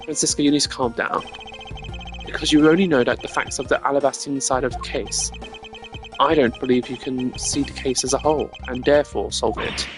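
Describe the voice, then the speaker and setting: A man voicing a composed, analytical prosecutor in a video game, speaking in a measured, formal way. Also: medium pitch, fairly even intonation, music in the background, natural voice. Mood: measured, reasoning.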